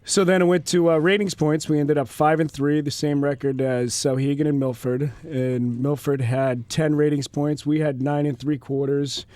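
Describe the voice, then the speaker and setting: A man's voice: a little high, moderate pace, hardly any pauses, no room sound. Stuffy-nosed male football coach. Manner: relaxed, easygoing, laughs lightly. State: stuffy with a cold. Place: radio studio. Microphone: studio microphone.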